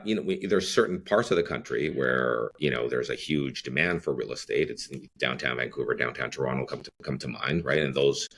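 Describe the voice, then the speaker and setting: Middle-aged man from Greece, very low voice, loose rambling podcast guest full of fillers. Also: fairly bright tone, a little hiss or hum. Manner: rambling, many fillers and restarts. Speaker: real estate CEO guest. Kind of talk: podcast interview.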